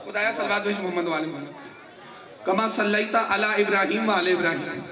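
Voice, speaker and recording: A man delivering a religious sermon to a gathering, asking rhetorical questions, repeating phrases and rising to shouts. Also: high voice, brisk. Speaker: majlis speaker. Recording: echoey, distant mic, crowd behind.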